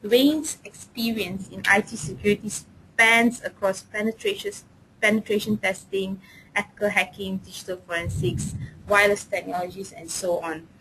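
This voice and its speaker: A woman with a medium-pitched voice hosts a webinar and gives a formal, prepared introduction. There is faint noise with a low rumble behind her. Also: steady tone, moderate pace, fairly bright tone. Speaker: webinar host introducing the trainer. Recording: noisy line with low rumble.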